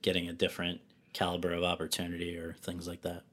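Brief: male host, somewhat low voice, chatty, loose phrasing. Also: monotone, fast, a bit bright. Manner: friendly, rambling questions. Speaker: interviewer. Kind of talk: conversational interview.

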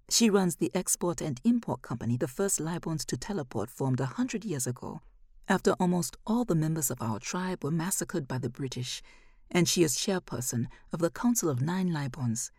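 A woman narrating a first-person literary short story in a measured, expressive way. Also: deep voice, husky, no echo, studio quality.

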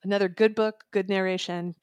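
A woman's voice: medium pitch, steady tone, brisk, a little mellow, smooth, no room sound. A woman in a casual podcast discussion, talking in a chatty, informal way as a book reviewer.

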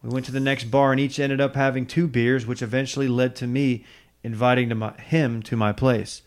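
A man's voice: medium pitch, little pitch movement, a little fast. Man reading a listener email aloud. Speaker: podcast co-host.

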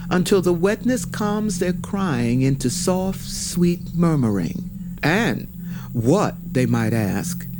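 Male narrator for mythic audio fiction: unhurried, solemn, very varied intonation. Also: medium pitch. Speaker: storyteller, narrator. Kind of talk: scripted mythic storytelling narration. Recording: music behind the voice. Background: music.

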